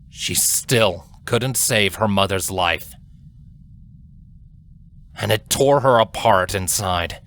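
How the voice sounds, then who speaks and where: A man reading a scripted dramatic story as narrator, solemn, deliberate and expressive. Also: fairly low pitch. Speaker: audiobook-style fan fiction narrator.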